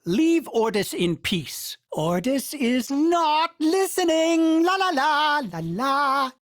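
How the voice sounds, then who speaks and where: A man's voice: very high voice, lively intonation, slow, fairly bright tone, dry recording, studio quality, full character voice. A man voicing a fussy, polite male ship AI in a video game, prim and formal and referring to itself in the third person. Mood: scolding.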